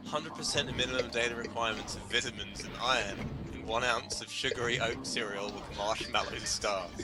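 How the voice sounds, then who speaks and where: A man reads a comic piece aloud with theatrical flair in a mid-range voice. He is performing for friends and is prone to breaking up as he goes. Music plays clearly behind him. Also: mid-range voice, fairly quick. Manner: theatrical, breaks into laughter while reading. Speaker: reader of a humorous article. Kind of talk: dramatic comedic reading among friends.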